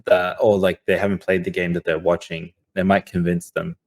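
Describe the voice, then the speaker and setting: A man's voice: low-pitched, flat delivery, a little fast, creaky. A man co-hosting a casual podcast discussion, talking in a loose way and often repeating phrases as he goes. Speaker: podcast co-host.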